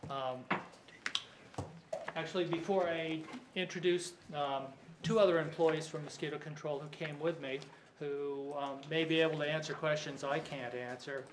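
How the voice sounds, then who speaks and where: A man's voice: on the high side, fairly even intonation, normal speed, background noise. A man from Southern California giving formal remarks to a county board as an agency director, hesitant with frequent fillers and a light joking tone. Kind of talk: formal public meeting remarks.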